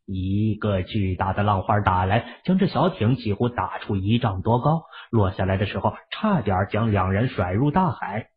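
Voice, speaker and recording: A man narrating an audio drama in a steady storytelling manner, with a husky, breathy voice. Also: fairly low pitch, normal speed, hardly any pauses. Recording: muffled lo-fi audio.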